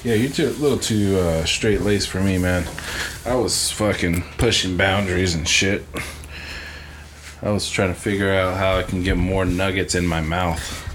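A young man with a deep voice, chatting casually and laughing on a podcast. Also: steady tone, moderate pace, a bit bright, a little hiss or hum. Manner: laid-back, swearing, laughing often. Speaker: podcast co-host. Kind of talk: casual chat.

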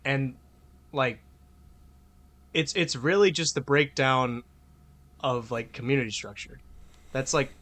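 A man in a casual podcast debate who rambles through his point, with filler words stacked up between the ideas. He argues emphatically and swears freely. His voice sits at an ordinary pitch for a man. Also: takes it slowly, long pauses, fairly bright tone. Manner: argumentative, emphatic. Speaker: podcast co-host.

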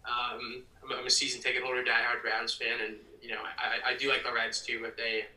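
A man's voice: mid-range voice, monotone, fairly quick, sharp tone. A young American man from Ohio chatting casually and earnestly as a guest in an interview. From Ohio, United States. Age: young adult. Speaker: podcast guest, PA announcer and ticket sales executive. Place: room at home, audio call. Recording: roomy, slightly distant mic.

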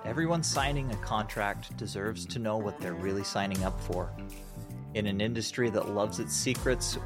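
A man co-hosting a podcast, reading the show intro and welcoming a guest in an easygoing, casual way. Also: on the low side. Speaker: podcast co-host. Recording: music playing behind the intro. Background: guitar music.

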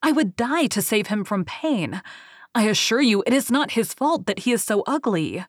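Woman as young female heroine, gentle, earnest, polite, pleading, husky voice, dry sound, storybook. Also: mid-range voice, animated, normal speed, dry recording, lightly acted. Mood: indignant. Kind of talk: storybook character reading.

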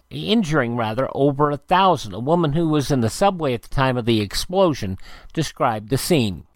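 Higher-pitched male radio host, dry close sound. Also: no echo. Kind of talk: radio narration of this day in history.

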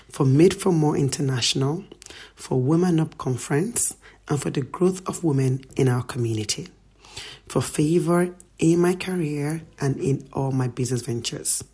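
A middle-aged woman reading a prepared gratitude message in a measured, earnest way. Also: rumbling low, mellow, breathy edge. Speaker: guest sharing a gratitude message.

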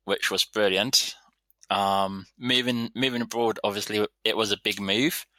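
Guy in his 30s, easygoing chatty vet, lowish voice, bright and dry. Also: fairly even intonation, unhurried, no room sound. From London, England. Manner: easygoing, rambling storyteller. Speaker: vet surgeon guest. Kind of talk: casual interview chat.

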